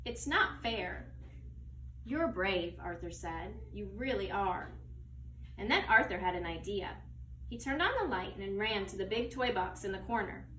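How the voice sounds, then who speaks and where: A woman's voice: lowish voice, fairly bright tone. A woman teacher reading a children's story aloud. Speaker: second grade teacher. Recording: echoey, distant mic, faint noise.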